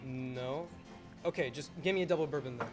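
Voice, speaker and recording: A young performer playing a troubled, wry, skeptical family man in a radio play, halting and incredulous. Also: mid-range voice, music in the background, lightly acted. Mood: bewildered. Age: teens to young adult. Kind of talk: stage radio play acting.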